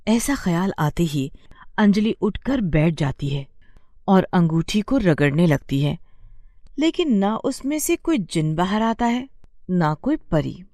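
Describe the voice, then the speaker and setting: A woman's voice: on the low side, sing-song, takes it slowly, dark tone, no room sound, studio quality. A woman storyteller narrating a scripted bedtime moral story.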